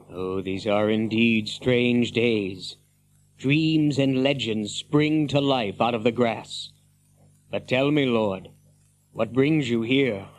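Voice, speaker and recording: An actor playing a stern, wary captain of horsemen in a fantasy radio drama, speaking formally and with measure. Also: male voice, mid-range voice, takes it slowly, long pauses, a little hiss or hum, close to an everyday voice. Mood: wary, solemn. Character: stern, wary horse-lord captain, loyal to his king.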